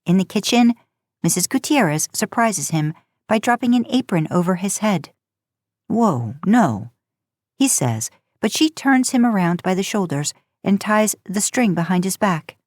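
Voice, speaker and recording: A woman's voice: mid-range voice, lively intonation, normal speed, darkish tone, no room sound, clean studio sound. A woman reading a story aloud in audiobook-style narration. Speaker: podcast host and fan fiction narrator.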